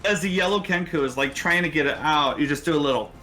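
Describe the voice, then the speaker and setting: A man's voice: a little high, fast talker. A man, casually narrating and refereeing a tabletop fantasy game in a conversational, encouraging way. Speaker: game master and show host.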